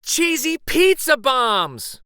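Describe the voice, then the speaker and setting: Man doing an excitable high-pitched little boy. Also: drawn-out words, no room sound, studio quality, exaggerated voice. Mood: excited. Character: excitable little boy who loves pizza treats. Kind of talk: children's storybook character voice.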